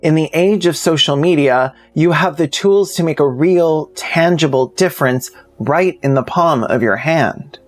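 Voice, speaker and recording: A man's voice: a little high, measured pace, hardly any pauses, faint music behind. A man narrating a video essay as the channel's host, speaking directly to the audience.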